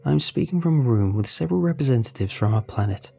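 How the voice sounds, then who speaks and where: A man's voice: medium pitch, animated, fast, vocal fry, dark tone, breathy edge, faint music behind. A man voicing an earnest human operator on a space mission in a narrated story, speaking in an eager, apologetic, slightly stammering manner.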